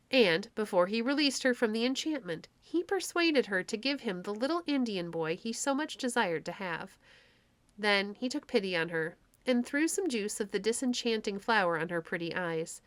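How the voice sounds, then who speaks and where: A woman's voice: medium pitch, fairly bright tone, dry recording. Woman reading a story, dry close sound. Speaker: storybook narrator. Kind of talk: scripted children's story narration.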